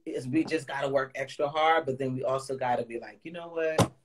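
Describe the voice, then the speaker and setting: A woman with a Guyanese accent hosting a live Q&A chat as a chef, animated and coaching, talking over a video call. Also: a little fast, noisy background. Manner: animated, coaching, jumps into high playful voices. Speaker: host, plant-based chef.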